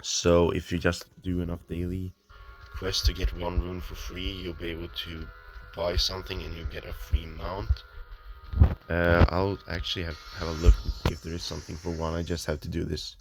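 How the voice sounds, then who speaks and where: A man's voice: sits low, monotone, moderate pace, over music. A man thinking aloud while he plays an online game, hesitant and full of ums, his voice a little off from the early morning. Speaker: gamer. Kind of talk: casual gameplay commentary. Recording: noisy home recording with clicks.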